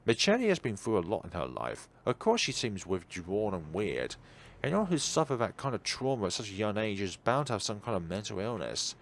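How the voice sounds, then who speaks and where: A man's voice: fairly low pitch, big pitch swings, a little fast. A man reading a visual novel aloud as narration, with casual side remarks and the odd stumble he corrects.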